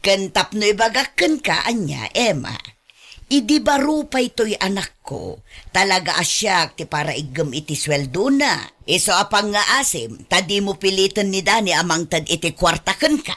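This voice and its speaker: An older Ilocano Filipino mother in a radio drama. Her voice is forceful and bright at a middle pitch for a woman. She nags and insists, possessive and grasping, always indignant. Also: lively intonation, moderate pace. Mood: insistent.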